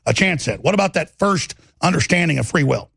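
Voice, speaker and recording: A man with a gravelly voice and a Texas twang, delivering impassioned political commentary in a confident, aggressive, emphatic way, piling up lists and rhetorical questions like a talk show host. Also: a little high, animated, brisk, fairly bright tone, no room sound, studio quality. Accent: Texan.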